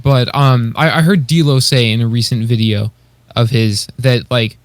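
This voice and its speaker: Male co-host, average pitch, conversational and a bit halting, very dry sound. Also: fairly even intonation, dry recording. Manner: hesitant, searching for words, often says like. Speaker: podcast co-host. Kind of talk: casual chat.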